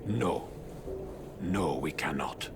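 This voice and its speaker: A man's voice: a bass voice, lively intonation, drawn-out words, creaky, background noise, exaggerated voice. A man voicing a wise old mutant rat father and mentor in a cartoon game, speaking solemnly and formally. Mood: solemn, worried. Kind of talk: cartoon video game acting.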